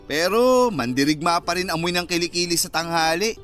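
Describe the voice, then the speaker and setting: A man's voice: high voice, animated, fairly quick, a bit bright, faint music behind. An actor playing a cheeky young Filipino man, a sarcastic best friend who teases and sulks in a radio romance drama. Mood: teasing. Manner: sarcastic, teasing banter.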